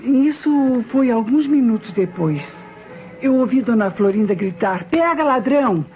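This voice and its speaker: A Brazilian actress playing a deferential, loyal housemaid in a radio drama, giving a respectful and earnest account. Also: female voice, a little high, expressive, measured pace. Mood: uneasy, earnest. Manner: respectful, earnest, narrating step by step. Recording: dull old radio recording, music behind.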